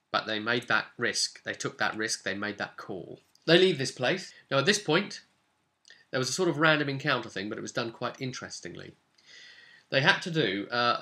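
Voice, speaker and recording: A man speaking casually as a game master, recounting a tabletop campaign in an animated, explanatory way straight to the listener. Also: mid-range voice. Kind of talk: casual storytelling to camera.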